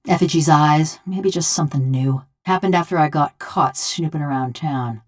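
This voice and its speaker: Low-voiced, folksy, vengeful bronze killer. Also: female voice, normal speed. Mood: plainspoken. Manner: folksy rustic drawl, blunt and sardonic. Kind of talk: video game character dialogue.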